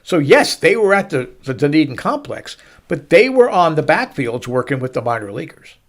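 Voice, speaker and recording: A middle-aged man hosting a baseball show, telling a story to listeners with emphatic, dramatic pauses and stretched-out words. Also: a little high, sing-song. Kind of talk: storytelling sports commentary to listeners.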